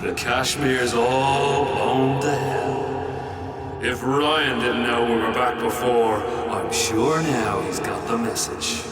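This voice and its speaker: An actor playing a gruff, scheming rebel leader in a video game, blunt and sardonic, with an Irish accent. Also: male voice, medium pitch, steady tone, takes it slowly, over loud music, lightly acted. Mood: grim, commanding.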